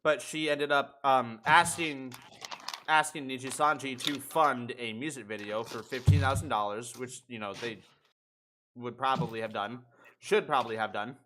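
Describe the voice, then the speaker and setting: A man's voice: medium pitch, fairly even intonation, normal speed, noisy background. A man hosting a livestream chat in a casual, rambling way with swearing. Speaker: host of a podcast livestream.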